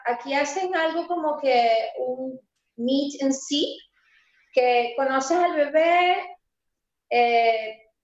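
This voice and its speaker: A high-pitched woman talks through a relaxed interview, lively and emphatic. She leans on key words by slowing down and laughs readily. The sound is roomy and a little dull. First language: Spanish. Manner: animated and emphatic, stressing points slowly, laughs easily. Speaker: interview guest, pastry entrepreneur. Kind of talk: casual conversational interview.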